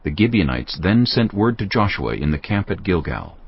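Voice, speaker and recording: A man narrating a dramatized Bible reading in a solemn, measured storytelling manner. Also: sits low, big pitch swings, creaky, lo-fi sound, a little hiss or hum. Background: background music.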